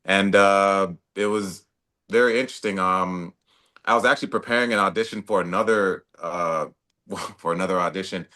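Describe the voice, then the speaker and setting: A young actor with a very low voice talks easily about his work. He sounds laid-back and casual, with plenty of fillers and restarts. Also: steady tone, normal speed, sharp tone. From Toronto, Canada. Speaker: actor guest. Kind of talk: casual interview talk.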